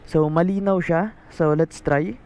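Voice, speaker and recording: A man's voice: a little high, steady tone, takes it slowly, darkish tone. A Filipino man talking casually and informally as a motovlogger showing a device, through a helmet mic. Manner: informal, switches between Tagalog and English. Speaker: motovlogger, host. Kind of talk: casual vlog talk, product demo. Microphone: helmet-mounted mic into action camera. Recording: noisy outdoor motorbike ride, engine and traffic.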